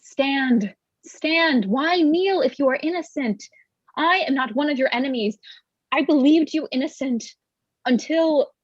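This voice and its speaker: Casting: actress as troubled young gentlewoman for gothic stage reading, clearly high, husky, earnest, on slightly muffled dry audio. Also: lively intonation, unhurried, no room sound. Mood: consoling. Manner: earnest, dramatic. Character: Elizabeth, sorrowful, concerned young gentlewoman, firm yet doubting.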